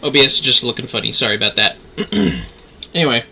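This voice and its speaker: A man's voice: medium pitch, sing-song, quick pace, pushed and bright, a little hiss or hum. A man hosting a gaming livestream, chatting to viewers and reading game dialogue aloud.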